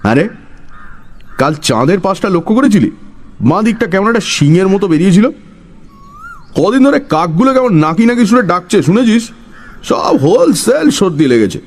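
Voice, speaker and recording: A man's voice: high-pitched, big pitch swings, moderate pace, long pauses, pitched above the performer's own voice, full character voice. A man voicing an eccentric, half-crazy village man who quizzes his listener in an emphatic, knowing, teasing way in a dramatised story. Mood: cryptic, insistent.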